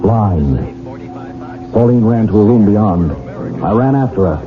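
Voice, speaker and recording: An actor playing a haunted young English husband in a radio drama, speaking in a grave, dramatic way. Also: male voice, lowish voice, lively intonation, unhurried. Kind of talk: radio drama acting and first-person narration. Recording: tinny old radio broadcast with loud music.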